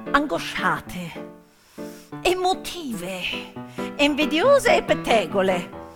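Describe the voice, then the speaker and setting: A woman performing theatrical narration as a stage storyteller, emphatic and dramatic, with deliberate pauses and hushed drops. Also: on the low side. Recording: hall reverb with piano music behind. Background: piano music.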